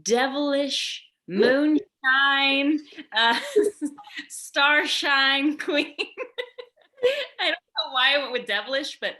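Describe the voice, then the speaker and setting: A woman's voice: high-pitched, lively intonation, extremely slow, long pauses, sharp tone. A woman co-hosting a podcast in casual chat, playful and giggly, often trailing off mid-sentence. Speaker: podcast co-host, author.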